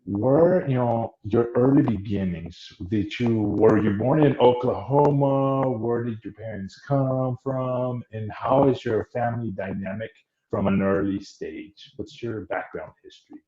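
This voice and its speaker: A man's voice: medium pitch, a crowd in the background. A man hosting an interview podcast. He often restarts a thought and asks several questions in a row. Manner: talks slowly, stacks up questions with restarts. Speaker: podcast host. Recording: roomy, muffled audio with faint noise.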